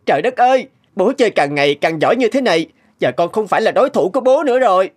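A man voicing an eager teenage student son who loves video games, in an animated cartoon. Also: high-pitched, fast. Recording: music under the voice.

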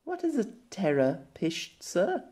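A man's voice: high-pitched, wildly swooping pitch, takes it slowly, mellow, pitched above the performer's own voice, exaggerated voice. A man voicing an earnest, proud young lady knight in an audiobook, with formal, old-fashioned phrasing. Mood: puzzled. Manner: formal, archaic, indignant phrasing. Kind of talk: audiobook character acting.

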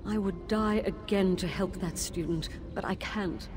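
A woman's voice: mid-range voice, measured pace, noisy background, lightly acted. A woman voicing a weary ghost headmistress. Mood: sorrowful. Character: ghostly female school headmistress, weary and devoted. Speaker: ghost of a school founder. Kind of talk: video game voice acting. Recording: game audio with loud music.

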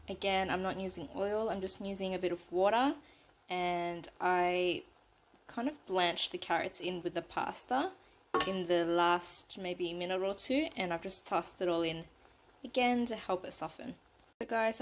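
Brief: Australian woman, home cooking vlogger, chatty step-by-step talk, roomy kitchen sound. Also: medium pitch. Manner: chatty, step-by-step, addresses viewers as guys. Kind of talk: casual cooking narration to camera.

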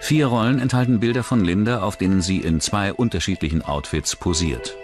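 A man narrating a scripted true-crime documentary in a measured, dramatic manner. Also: low-pitched, over music.

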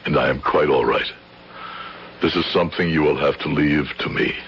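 A brooding middle-aged father bent on dark revenge, with a low, solemn voice. He speaks in theatrical old radio drama style. The recording is muffled vintage radio, with music clearly behind the voice. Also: moderate pace. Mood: grimly resolved. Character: grieving middle-aged father, a vengeful professor turned occultist. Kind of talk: 1970s radio drama acting.

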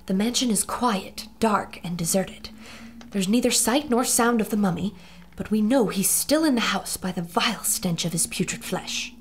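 An actress playing a sharp-witted adventurer in a pulp radio serial, brisk, articulate and dramatic as she reports to listeners. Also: mid-range voice, slight background noise, lightly acted. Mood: tense narrating.

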